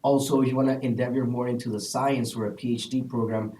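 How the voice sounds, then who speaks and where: A young man from El Salvador with a mellow, warm voice answers panel questions earnestly and drops in an "uh" here and there. He sounds set back from the mic in a roomy, echoey space. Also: mid-range voice, steady tone, fast. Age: young adult. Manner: earnest, recommending things with frequent "uh" fillers. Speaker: student panelist. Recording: echoey room, distant mic.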